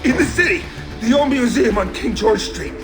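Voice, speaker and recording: An actor playing a frightened hired thug in a video game, agitated and pleading under interrogation. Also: male voice, very high voice, expressive, normal speed. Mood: terrified, pleading. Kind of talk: cinematic video game acting. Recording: music behind the voice.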